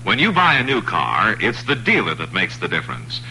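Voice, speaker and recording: A man reading a car dealer commercial on old radio with a smooth selling delivery. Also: fairly low pitch, huge pitch swings, a little fast, pushed and bright, faint music behind, low-quality recording. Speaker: ad announcer.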